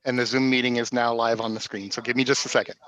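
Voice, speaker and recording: A man hosting a live-streamed play reading over a video call, speaking in a hurried, apologetic way. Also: mid-range voice, flat delivery, a bit bright, rough recording. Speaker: event host. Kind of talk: casual live-stream announcements.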